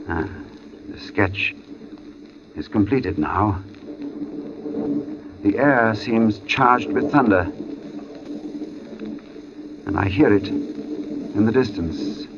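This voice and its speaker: An uneasy, brooding male artist telling his story in a vintage radio thriller. His voice sits on the low side, with deliberate, dramatic phrasing. The old broadcast recording is muffled, with music underneath. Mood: ominous, brooding. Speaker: actor and narrator. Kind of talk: 1940s radio suspense acting, first-person narration.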